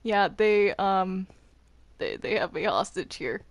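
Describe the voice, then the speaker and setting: A woman's voice: medium pitch, lively intonation, slow. A woman chatting casually while gaming in a roleplay server.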